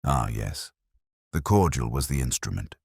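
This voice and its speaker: Man acting as a composed Victorian sleuth, extremely low voice, animated swoops, theatrical, precise, storybook detective. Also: unhurried, gravelly, breathy edge, dry recording, studio quality, full character voice. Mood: composed, lecturing. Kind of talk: audiobook detective story dialogue.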